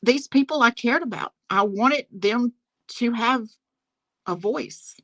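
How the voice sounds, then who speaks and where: A woman's voice: a little high, slow, a bit bright. A woman with a Southern American accent hosting a casual live-stream chat, emphatic and repeating phrases for stress. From Southern United States. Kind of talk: casual drama commentary chat. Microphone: phone on speakerphone.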